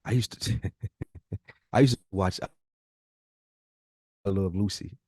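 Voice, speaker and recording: A man with a low-pitched voice co-hosts a podcast. He chats casually in slang, swears now and then and drops "man" often. The sound is dry, with very little room. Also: slow, a little mellow, husky, dry recording. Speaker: podcast co-host. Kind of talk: casual banter.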